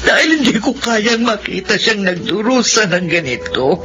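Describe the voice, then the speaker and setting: An anguished, caring mother, played by a woman in a radio drama. Her expressive voice rises and falls widely with worry for her son, with loud music playing underneath. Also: mid-range voice, normal speed, dull audio, natural voice. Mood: anguished, grieving. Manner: emotional, expressive. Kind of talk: Filipino radio drama acting.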